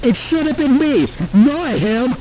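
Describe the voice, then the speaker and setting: Man as petulant jealous villain, high voice, whiny, indignant, comedic ranting, swearing, faint noise. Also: big pitch swings, unhurried, warm tone, full character voice, low-quality recording. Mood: whiny indignation. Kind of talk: comedic rant monologue.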